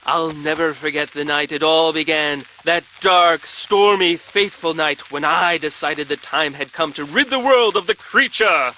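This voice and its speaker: A grandiose, vengeful hero telling his own tale in a video game. His voice is fairly high and forceful, with florid theatrical phrasing. Faint rain sounds behind him. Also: unhurried, hardly any pauses, poor audio. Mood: fervent, dramatic. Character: melodramatic male storyteller hero, grimly vengeful, pompous. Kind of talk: dramatic scripted monologue in video game. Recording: rain and storm noise behind. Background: rain ambience.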